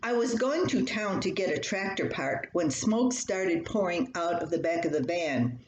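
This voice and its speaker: A woman's voice: on the low side, expressive, moderate pace, close to an everyday voice. A woman voicing a giggly, upbeat husband telling a story of a breakdown in an amused, emphatic way. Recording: roomy echo, faint background noise.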